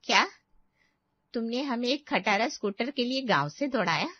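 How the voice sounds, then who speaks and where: A woman voicing a melodramatic, easily frightened village aunt with wailing, drawn-out exclamations in a story. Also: a little high, animated, dry recording, lo-fi sound, voice pushed up. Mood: shocked, dizzy.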